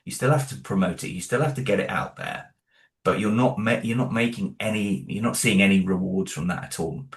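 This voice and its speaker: Low-voiced British man in an echoey room. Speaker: interview guest, independent audio drama creator. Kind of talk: casual interview chat. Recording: roomy, echoing room sound.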